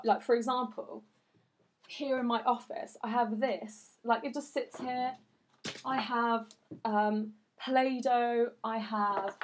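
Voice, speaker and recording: Young woman vlogging from her office, chatty and earnest, lots of ums, voice a little on the high side. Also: slight background noise. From Iran, raised in Sweden. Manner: chatty and earnest, many fillers. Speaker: vlogger answering viewer questions. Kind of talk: casual chat.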